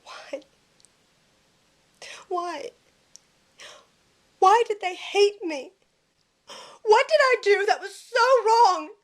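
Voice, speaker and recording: A woman's voice: very high-pitched, animated, drawn-out words, long pauses, exaggerated voice. A woman playing an emotional, wounded young woman in an audio drama, earnest and dramatic in delivery. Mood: sobbing, desperate.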